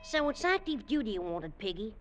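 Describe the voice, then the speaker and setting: An actor playing a young soldier, grumbling, whiny and timid about the fighting. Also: male voice, high-pitched, sing-song, a little fast. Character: young cockney army fifer, grumbling and cowardly. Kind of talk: 1940s radio acting. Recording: old radio broadcast with faint hiss.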